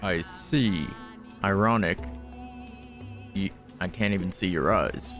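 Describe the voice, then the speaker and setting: A man streams a game in a low voice. He is offhand and joking, casually reading lines aloud and commenting, with game music clearly behind him. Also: takes it slowly. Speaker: gaming streamer.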